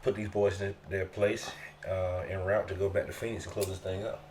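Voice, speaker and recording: A man's voice: sits low, flat delivery, a little fast, darkish tone, a little hiss or hum. A man co-hosting a podcast in casual chat, stuffy from a summer cold, laid-back and slangy. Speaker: podcast co-host.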